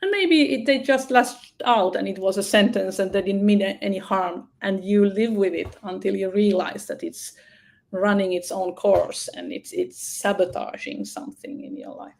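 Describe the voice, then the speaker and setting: Woman guest, some fry, encouraging and affirming on a call. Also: mid-range voice, normal speed. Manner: warm supportive affirmations. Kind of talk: casual interview conversation. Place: remote video call. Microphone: video call mic.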